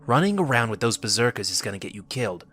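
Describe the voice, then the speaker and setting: Abrasive, animated army medic. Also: male voice, mid-range voice, quick pace, faint music behind. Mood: grim warning. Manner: irritable, sardonic, scolding. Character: abrasive cynical scarred male army medic. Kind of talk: audio drama acting.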